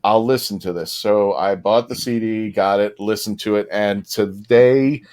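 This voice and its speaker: A man with a fairly low voice talks on a podcast panel. He tells stories in an informal, conversational style. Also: a little slow, darkish tone. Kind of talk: casual storytelling in panel chat.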